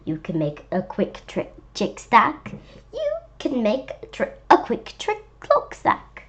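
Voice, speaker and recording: A woman voicing an eager, tricky, bossy storybook fox with an excited sing-song delivery. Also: fairly high pitch, slow, exaggerated voice. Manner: excited sing-song, tongue-twisting patter.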